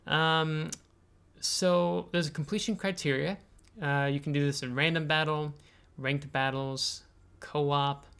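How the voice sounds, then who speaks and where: An American man hosting a video game tutorial, explaining things step by step in a halting way with frequent filler pauses. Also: on the high side, fairly even intonation, vocal fry, a bit bright, clear, resonant tone. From United States. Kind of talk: explanatory game tutorial, semi-scripted screen walkthrough.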